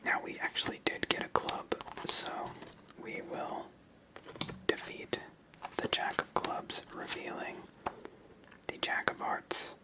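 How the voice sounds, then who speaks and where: A host whispering ASMR commentary while playing a solo card game, explaining each move step by step in an unvoiced whisper. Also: low-quality recording. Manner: slow, step-by-step narration of moves.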